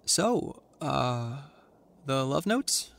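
An actor playing an anxious, awkward teenage boy in an audio drama, with a halting, dry, naturalistic delivery. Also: medium pitch, sing-song, gravelly, fairly bright tone, exaggerated voice. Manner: halting, dry, self-conscious.

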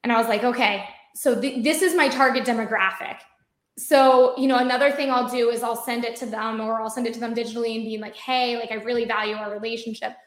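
A woman with an American accent explains her work in relaxed, rambling talk, restarting sentences and leaning on "like" and "um". Her voice sits a touch high, and the room gives it a noticeable echo. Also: quick pace. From United States. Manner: chatty, many fillers and restarts. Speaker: marketing guest. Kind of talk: conversational interview. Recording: roomy echoey sound.

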